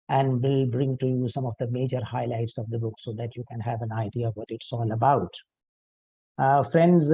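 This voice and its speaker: Older man, formal academic talk, dark voice. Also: medium pitch, husky, no room sound, muffled recording. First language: Urdu. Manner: measured, formal, with frequent "uh" pauses and self-corrections. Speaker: author presenting his book.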